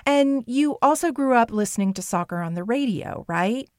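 A woman hosting a radio show, reading a scripted introduction and asking interview questions in a measured way. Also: on the high side, expressive, a little mellow, no echo, studio quality.

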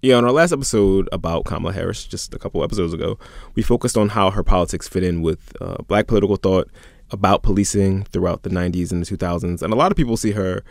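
Fast-talking gravelly man in a dry room. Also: deep voice, dry recording. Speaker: podcast co-host. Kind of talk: casual chat.

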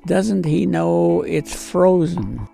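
Very gravelly, slow, plain-spoken interviewee. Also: mid-range voice, lively intonation, music in the background. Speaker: interviewee in a documentary.

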